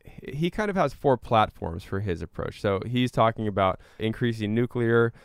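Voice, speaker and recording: A man speaking as an interview guest, explaining step by step in a measured, conversational way with careful qualifications. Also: fairly low pitch, expressive, vocal fry, smooth, no echo, clean studio sound. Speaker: interview guest, climate advocate.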